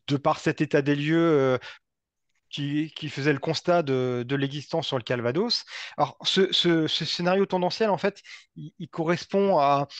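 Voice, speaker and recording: A man giving a semi-improvised technical presentation, speaking haltingly and often repeating and rephrasing his words. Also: on the high side, no room sound. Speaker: presenter from a local energy body.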